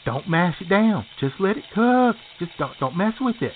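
A man's voice: sits high, big pitch swings, normal speed, phone-quality sound, slight background noise. A man presenting a chatty how-to radio segment in an emphatic, bragging, instructive manner.

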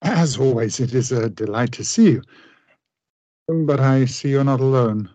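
A man playing a cultured, secretive gentleman doctor in a radio play, speaking formally and theatrically. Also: mid-range voice, lively intonation, measured pace, no echo. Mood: genial, courteous. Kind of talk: radio play acting, journal monologue.

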